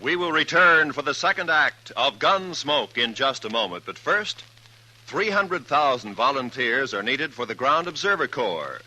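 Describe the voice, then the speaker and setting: An American man announcing on 1950s radio, reading a script in a formal, crisp delivery. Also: medium pitch, big pitch swings, moderate pace, over music, low-quality recording. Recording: old radio recording, faint noise.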